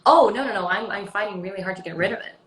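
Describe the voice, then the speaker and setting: A woman's voice: medium pitch, very fast, a bit bright. Swedish woman chatting, echoey room. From Sweden. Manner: chatty, rambling, frequent um and like. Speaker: actress guest. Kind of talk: casual interview chat.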